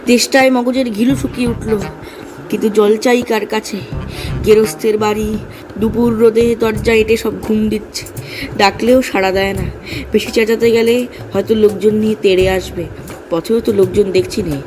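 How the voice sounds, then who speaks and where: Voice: mid-range voice, fairly even intonation, fairly quick, noisy background, natural voice. A performer in a comic audio drama playing a weary, thirsty male traveller who is polite but exasperated. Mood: weary, parched. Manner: pleading, exasperated, polite address. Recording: music behind the voice.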